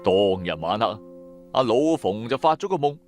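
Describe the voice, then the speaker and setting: A man tells a story in Cantonese-accented speech, theatrical and colloquial. His pitch moves up and down freely as he narrates an audio drama, with music underneath. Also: big pitch swings, moderate pace.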